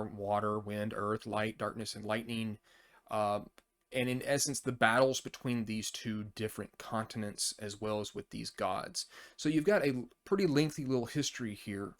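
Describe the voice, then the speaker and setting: A man hosting a casual, unscripted overview, talking in a rambling, conversational way as he thinks aloud. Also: lowish voice. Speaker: tabletop RPG review channel host.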